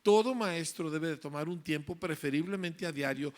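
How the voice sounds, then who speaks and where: A man's voice: on the high side, moderate pace. A man giving an emphatic lecture to parents and teachers, driving points home with repeated phrases and tag questions. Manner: emphatic, repetitive rhetorical lecturing with frequent "¿verdad?".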